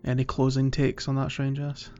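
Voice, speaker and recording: A man's voice: mid-range voice, monotone, normal speed, warm tone, soft background music. A man chatting casually as a podcast co-host. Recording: dull lo-fi voice-chat audio.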